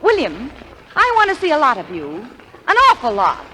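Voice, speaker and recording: A divorced actress, earnest and emotional as she speaks her mind. Her voice is high and bright with lively rises and falls, over the faint hiss of an old broadcast. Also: moderate pace, full character voice, poor audio. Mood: heartfelt. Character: woman, divorced actress, wounded but proud. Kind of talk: 1940s radio acting.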